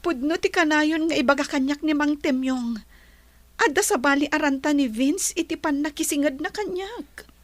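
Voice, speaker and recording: A woman playing Annabel, a high-voiced worried rich lady. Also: normal speed, dry recording, lightly acted. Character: wealthy woman Annabel, worried but reflective. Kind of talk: radio drama acting.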